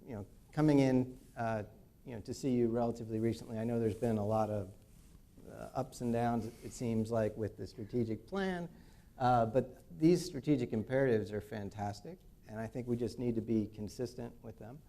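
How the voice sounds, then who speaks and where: A man's voice: medium pitch, fairly even intonation, mellow. A man, an engineering dean, speaking off the cuff on a panel with frequent "uh" fillers and restarts. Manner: halting, many "uh" fillers and restarts. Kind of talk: unscripted panel remarks.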